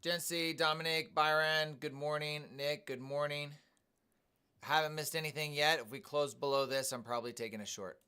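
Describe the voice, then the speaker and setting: A man hosting a live day trading stream, calmly commenting on his trades and greeting viewers in the chat. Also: a little high, normal speed, clean tone. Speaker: day trading livestream host.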